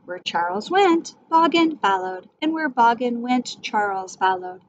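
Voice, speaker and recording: A middle-aged woman teacher reading a storybook aloud to young children, expressive and addressing them directly. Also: fairly high pitch, unhurried. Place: small indoor room with whiteboard. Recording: dull sound, faint background noise.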